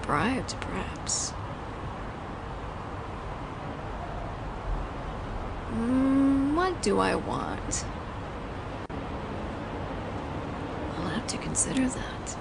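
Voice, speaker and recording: A woman's voice: fairly high pitch, sing-song, takes it slowly, vocal fry, exaggerated voice. A woman playing a flirtatious, teasing mafia boss in an audio roleplay, talking in a playful, conversational storytelling way. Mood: flirty, coy. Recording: noisy outdoor city ambience, traffic.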